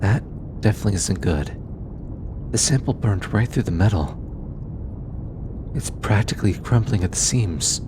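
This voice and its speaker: A man voicing an anxious, earnest alien scientist in an intimate audio roleplay, hesitant and halting, as if easily flustered. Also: lively intonation. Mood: grave, concerned. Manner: hesitant, halting, stammering, sighing. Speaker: voice actor. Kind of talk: scripted audio roleplay, intimate one-sided dialogue. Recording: clearly noisy, low rumbling background.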